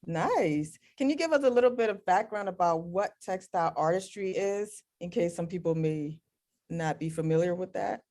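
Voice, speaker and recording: A woman's voice: lowish voice, expressive, normal speed, mellow. A woman hosting an arts show, introducing and interviewing guests in a conversational style. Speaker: show host.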